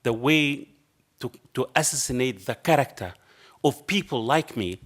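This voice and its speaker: A man's voice: mid-range voice, expressive, normal speed, a bit bright. A middle-aged man with a strong Arabic accent, speaking on a panel and telling a story in which he acts out both sides of a conversation. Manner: passionate, non-native phrasing. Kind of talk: anecdotal public talk. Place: dark lecture hall panel table. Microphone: table microphone.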